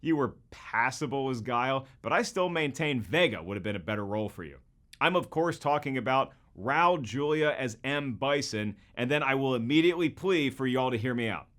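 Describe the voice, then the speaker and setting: A man's voice: medium pitch, expressive, normal speed, fairly bright tone. A man hosting scripted comedic commentary, with a wisecracking manner full of rhetorical questions. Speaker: host and comedian.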